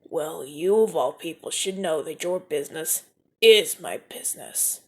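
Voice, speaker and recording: A man's voice: fairly high pitch, lively intonation, a little slow, creaky, fairly bright tone, full character voice. Guy doing a slick mafia charmer, suave and teasing, swings his voice up and down a lot, slips into whispers. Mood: stern. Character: suave smooth-talking male mafia enforcer. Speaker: mafia man rescuing a newcomer. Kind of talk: ASMR audio roleplay.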